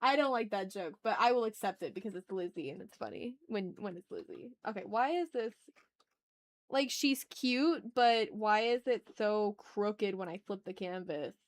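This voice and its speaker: A woman's voice: mid-range voice, normal speed. A woman hosting a casual drawing livestream, playfully chatting with viewers and thinking aloud as she works. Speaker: art livestream host.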